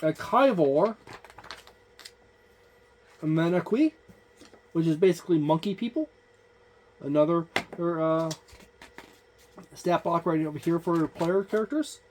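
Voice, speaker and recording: A reviewer giving a casual page-by-page overview of a game book, listing entries in a halting way with frequent fillers and restarts. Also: mid-range voice, darkish tone, slight background noise. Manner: offhand, informal wording.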